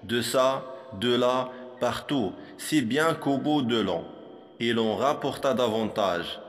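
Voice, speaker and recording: Male reciter for school poetry: unhurried, measured, instructive delivery, mid pitch, big reverberant hall sound. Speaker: poem reciter for a primary school lesson.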